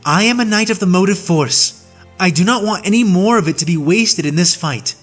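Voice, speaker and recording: A man voicing a sincere young woman knight who pleads quickly and earnestly in an audiobook. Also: sits high, lively intonation, fairly bright tone, soft background music. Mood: pleading. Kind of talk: audiobook reading.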